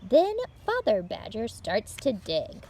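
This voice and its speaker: A woman hosting story time for young children, reading aloud in an animated, encouraging way with simple phrasing. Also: mid-range voice, takes it slowly, gravelly, slight background noise.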